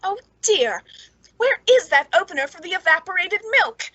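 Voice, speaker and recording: A woman's voice: extremely high pitch, animated, moderate pace, sharp tone, dry recording, dull audio, heavily acted. An actress playing a lonely, eager, nervously polite woman in an old-time radio suspense drama, hesitant and genteel. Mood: delighted.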